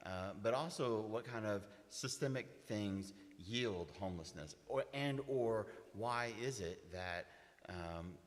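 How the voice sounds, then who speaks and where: Low-voiced man leading a church class. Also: slow. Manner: easygoing, meandering questions. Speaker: class leader and host. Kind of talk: prayer, then leading a group discussion. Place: church meeting room. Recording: roomy hall reverb.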